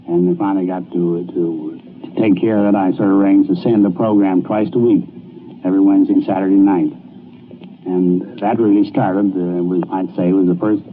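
An older man with an American accent reminiscing informally in a halting, folksy way on an archival recording. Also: low voice. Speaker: engineer recalling his work. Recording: tinny, noisy old archival recording.

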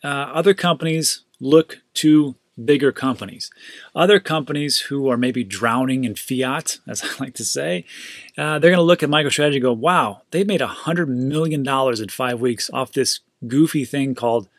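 A man's voice: medium pitch, normal speed, dry recording, studio quality. A man hosting a casual crypto news show, chatting upbeat and loose about market prices, often repeating himself for emphasis. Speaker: crypto news channel host.

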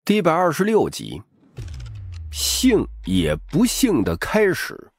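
A man's voice: fairly high pitch, wildly swooping pitch, takes it slowly, husky, no room sound, clean studio sound, faint music behind. A man narrating scripted fiction as a dramatic audiobook reader.

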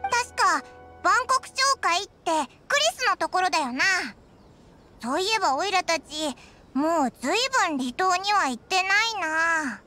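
A woman voicing a tiny childlike fairy companion, blunt and excitable, in an anime game. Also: extremely high pitch, normal speed, full character voice. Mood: musing. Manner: boyish, blunt casual speech. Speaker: game voice actor. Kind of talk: cartoonish anime game dialogue. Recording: studio voice under loud game music.

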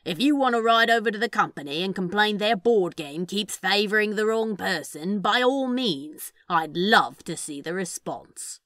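A woman's voice: mid-range voice, lively intonation, moderate pace, hardly any pauses, a bit bright, no echo, studio quality, lightly acted. A woman voicing a teasing, sardonic male demon in a fan fiction reading, with a sly, casual drawl. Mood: smug, mock-offended.

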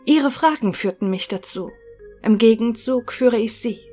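Woman acting homesick machine, female, highish voice, clipped formal answers, fatalistic, audio drama, light music. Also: lively intonation, a little slow, dark tone. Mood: melancholic.

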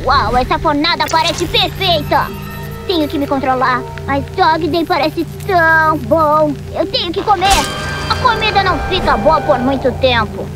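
A woman's voice: high voice, animated, normal speed, poor audio. A woman with a Brazilian accent voicing a fussy, secretive, gluttonous pig chef with mock menace in a cartoon dub. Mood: greedy. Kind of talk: animated cartoon dubbing. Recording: loud music under the voice.

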